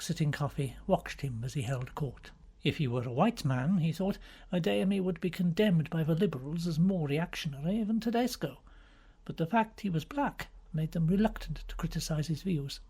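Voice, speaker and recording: A man reading a literary novel as an audiobook, in scripted narration with a husky, breathy voice. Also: fairly high pitch, a little mellow. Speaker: audiobook reader.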